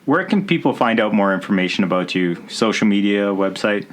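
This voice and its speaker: Slightly low-voiced male podcast host. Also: big pitch swings, brisk, a little hiss or hum. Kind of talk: conversational interviewing and sign-off.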